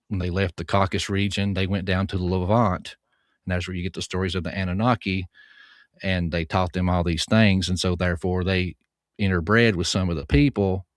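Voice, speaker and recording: A man's voice: low voice, no echo, clean studio sound. A man with a Southern American accent, a guest author explaining at length in a folksy, earnest way on a livestream. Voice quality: soothing. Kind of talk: livestream conversation.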